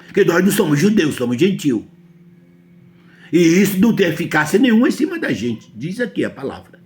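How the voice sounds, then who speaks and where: A man's voice: fairly high pitch, sing-song, a little fast, a little mellow, faint music behind. A man from Brazil with a Brazilian Portuguese accent, preaching a sermon emphatically and often asking his listeners whether they understand.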